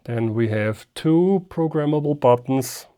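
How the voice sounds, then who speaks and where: A man hosts an unscripted hands-on product demonstration in a relaxed, soft-edged voice of medium pitch. His delivery is slow and halting, as he thinks aloud while he works. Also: clear, resonant tone. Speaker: amateur radio video host.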